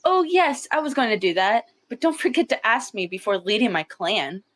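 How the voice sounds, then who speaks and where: A woman's voice: on the high side, lively intonation, moderate pace, fairly bright tone. Haughty, sneering she-cat leader acted by a woman. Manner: sneering, excuse-making. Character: haughty, defensive female cat clan leader.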